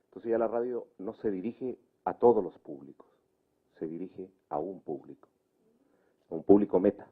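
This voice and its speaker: Through narrow, phone-like audio comes a man with a deep, rough-edged voice. He speaks in a public debate, explaining deliberately and circling back to clarify. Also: lively intonation. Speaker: debate participant. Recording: muffled narrow phone-like sound.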